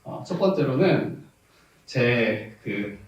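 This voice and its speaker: Pastor with a Jeolla accent in an echoey church. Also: male voice, medium pitch, expressive, takes it slowly, muffled recording, noisy background. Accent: Jeolla dialect. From South Jeolla, Korea. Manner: candid, reminiscing, laughs often, rises to emphasis. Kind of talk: sermon. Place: church sanctuary. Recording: far-off echoey church audio.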